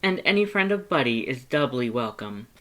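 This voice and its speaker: A performer voicing an excitable, earnest male skeleton with a warm heart and emphatic, theatrical delivery, in a fan fiction audiobook. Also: mid-range voice, moderate pace. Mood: eager, friendly.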